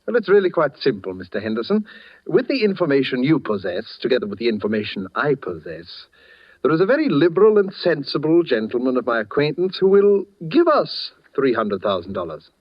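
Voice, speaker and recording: A man's voice: mid-range voice, sing-song, fairly quick. A dull, vintage radio recording carries a suave middleman from a 1940s thriller. He is courtly and wordy, coaxing with purring questions and a schemer's politeness. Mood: coaxing, smug.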